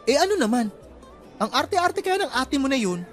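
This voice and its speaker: Campy, cheeky young student. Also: male voice, extremely high pitch, sing-song, moderate pace, a bit bright, soft background music, exaggerated voice. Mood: mocking. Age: young adult. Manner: campy, teasing, swooping exclamations. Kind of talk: radio drama acting.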